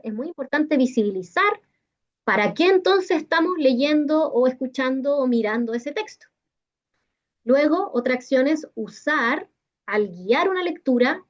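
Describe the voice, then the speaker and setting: A woman's voice: high-pitched, a little mellow. A woman with a Chilean accent explaining material didactically as a workshop presenter, often checking in with short tag questions, over a video call. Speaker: teacher-training workshop presenter. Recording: dull, lo-fi video call audio.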